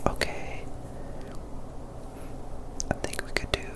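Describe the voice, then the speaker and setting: A person whispering softly and casually in ASMR gameplay commentary, thinking aloud while playing, close to the microphone. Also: takes it slowly. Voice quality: soft unvoiced whisper. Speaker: gaming ASMR creator.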